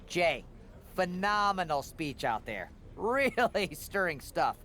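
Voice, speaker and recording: A man's voice: high-pitched, sing-song, slow pace, pushed and bright, a little hiss or hum, heavily acted. A man playing a chatty, awkwardly joking wrestling official in a video game, wordy and rambling. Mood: chummy, joking. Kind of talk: video game cutscene dialogue.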